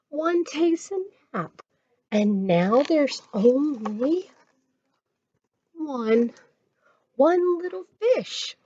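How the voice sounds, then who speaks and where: Casting: American woman as children's storytime reader, very slow and encouraging, widely swooping pitch, dull lo-fi sound. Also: mellow, slightly rough, dry recording. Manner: sing-song, encouraging, addresses children directly. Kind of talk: children's read-aloud and counting rhymes. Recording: dull lo-fi audio.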